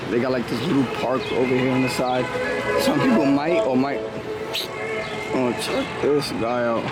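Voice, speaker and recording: A man, hoarse from losing his voice, casually vlogging his walk around a city zoo in laid-back slang. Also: mid-range voice, normal speed, over loud music. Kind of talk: casual vlog narration.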